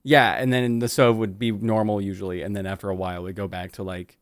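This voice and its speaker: A man's voice: lowish voice, level tone, quick pace, dry recording, clean studio sound. A man co-hosting a livestream, chatting casually and reading out messages with playful teasing and some swearing. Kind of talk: casual joking banter on a livestream.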